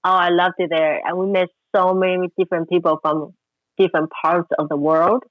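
A woman's voice: fairly low pitch, little pitch movement, fairly quick, dry recording, muffled recording. A woman from Taiwan in her forties, a guest telling her story with many fillers. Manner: warm storytelling, frequent fillers. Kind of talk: interview conversation.

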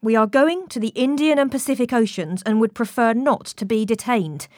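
A woman's voice: fairly high pitch, moderate pace, dry recording, clean studio sound, natural voice. A woman voicing an educated male sailor in an audiobook, formal, wordy and easily indignant. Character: educated young sailor, male, prim and exasperated.

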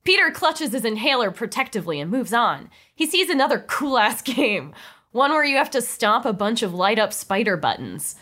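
A woman's voice: fairly high pitch, sing-song, moderate pace, pushed and bright. A woman narrating a comedy script table read playfully, with casual, amused asides.

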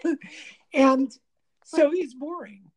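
A woman's voice: high-pitched, takes it slowly, a little mellow. A woman with a slightly nasal voice in casual conversation, rambling with many restarts. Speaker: guest talking about diet and the body.

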